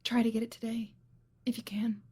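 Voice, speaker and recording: A woman's voice: fairly high pitch, little pitch movement, brisk, warm tone, husky. A woman playing a frightened, exhausted young mother in an audio drama, with a fragile, naturalistic delivery. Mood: quiet, hesitant.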